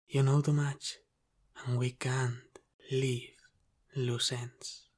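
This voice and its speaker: A man playing a teasing, menacing mafia boss with an Italian-American accent in an ASMR roleplay, speaking deliberately and sometimes dropping into a whisper. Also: medium pitch, flat delivery, darkish tone. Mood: calmly threatening. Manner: slow, deliberate, teasing, with breathy sighs and whispered phrases.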